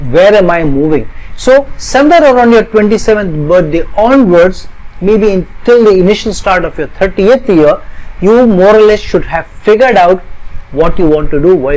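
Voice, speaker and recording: A man's voice: high-pitched, a little mellow, poor audio. A middle-aged man from India, an astrologer, giving an earnest explanatory talk and stacking up long lists of parallel phrases.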